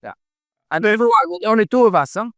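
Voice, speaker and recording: Mężczyzna, angielski jako drugi język, gładki głos. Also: male voice, high-pitched, lively intonation, no room sound, studio quality. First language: not English. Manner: halting, repeats words, many uh fillers. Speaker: founder and CEO, podcast guest. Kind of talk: casual interview answers.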